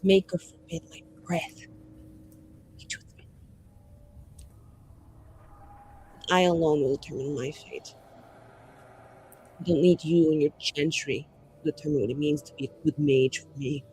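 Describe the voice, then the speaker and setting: A man's voice: on the high side, a little slow, pauses often, darkish tone. Man playing a proud, embittered mage, faint noise. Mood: proud, scornful. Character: proud, embittered male mage, defiant and formal.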